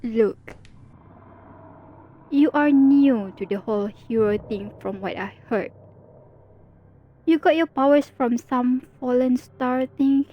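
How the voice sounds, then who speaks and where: A woman's voice: high-pitched, takes it slowly, pauses often, phone-quality sound. A woman performing an ASMR roleplay as a teasing female villain talking to her rival hero. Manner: teasing, playful, confiding.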